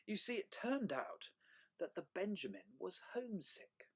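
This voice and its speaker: A reader as a curious young storybook dragon, earnest, wide-eyed, big pitch swings, dramatic emphasis. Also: big pitch swings, unhurried, breathy edge, exaggerated voice.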